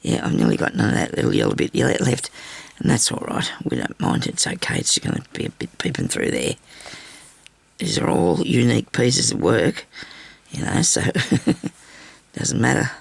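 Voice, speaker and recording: An older woman with a raspy, hoarse voice from a bad cough, chatting casually through a sewing tutorial at her craft table. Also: very deep voice, wildly swooping pitch, moderate pace, no echo. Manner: chatty, rambling asides while stitching. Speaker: crafting channel host. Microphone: overhead camera on her hands.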